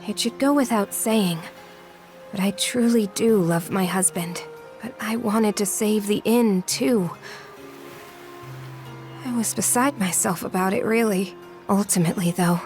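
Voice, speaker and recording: A gentle but strong-willed young wife, acted by a woman, speaks from the heart with earnest regret. The mid-range voice has a dramatic game delivery over faint music. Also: mid-range voice, fairly even intonation, unhurried, natural voice. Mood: remorseful. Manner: pleading, heartfelt. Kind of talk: dramatic video game voice acting.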